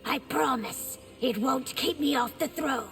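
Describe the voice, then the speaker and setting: A fiery, combative young game princess. The voice is high with a gravelly rasp of creak, in long reverb over music. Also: female voice, a little slow. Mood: defiant. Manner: proud, combative. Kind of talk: dramatic video game dialogue.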